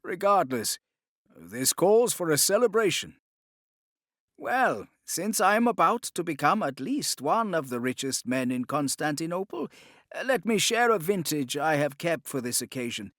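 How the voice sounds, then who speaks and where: A rich, cold and self-satisfied merchant villain from an audiobook , acted by a male narrator. The pitch rides high and moves about a lot, in a dry recording. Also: big pitch swings, unhurried, fairly bright tone, no room sound.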